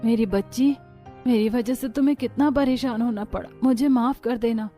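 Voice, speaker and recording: A woman's voice: fairly high pitch, normal speed, warm tone, faint music behind, close to an everyday voice. A woman voicing a tender, apologetic middle-aged mother with a soothing, sentimental delivery. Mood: tender, sorry.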